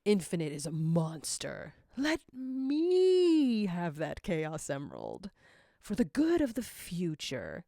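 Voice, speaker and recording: A woman's voice: lowish voice, wildly swooping pitch, slow pace, creaky, warm tone, dry recording, studio quality, full character voice. A woman voicing a sinister, seductive male demon with an insinuating, menacing manner.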